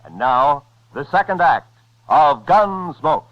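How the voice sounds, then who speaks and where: Male announcer, 1950s radio style, animated intonation, polished, muffled old recording. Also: on the high side, slow, heavy background noise. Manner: formal, polished. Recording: dull vintage radio recording.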